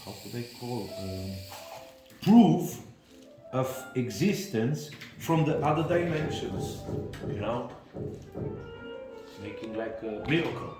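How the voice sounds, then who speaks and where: An older man with a Romanian accent talks in a slow, halting way and keeps stopping to find the right English word. His voice sits at a middling pitch. It rings in an echoey room with music playing clearly behind him. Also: big pitch swings. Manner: halting, searching for English words. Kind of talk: casual conversation.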